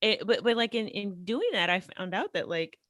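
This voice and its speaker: A woman from the United States, a guest on a podcast, telling stories in an animated, chatty way with many fillers. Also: mid-range voice, normal speed, no echo, studio quality. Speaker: podcast guest, language teacher. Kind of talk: casual interview storytelling.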